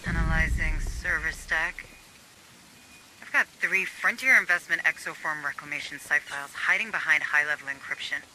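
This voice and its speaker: A woman playing a brisk, businesslike officer who directs marines in a video game. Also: low voice, sharp tone, a little hiss or hum, poor audio. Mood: focused, businesslike. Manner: brisk, businesslike, clipped orders. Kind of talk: scripted video game dialogue.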